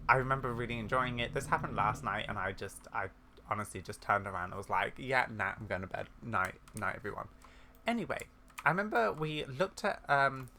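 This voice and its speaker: A man's voice: mid-range voice, pushed and bright, slight background noise. A man who makes gaming videos, chatting informally in casual, apologetic commentary.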